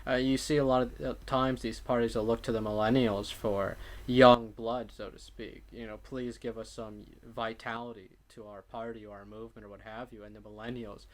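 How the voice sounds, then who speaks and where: A man giving casual political commentary in a measured, wordy way, often qualifying what he says. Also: medium pitch, level tone.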